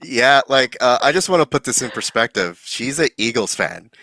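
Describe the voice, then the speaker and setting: A man's voice: mid-range voice, lively intonation, normal speed, sharp tone, soft background music. A man who writes comics, chatting casually as a livestream guest. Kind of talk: casual chat.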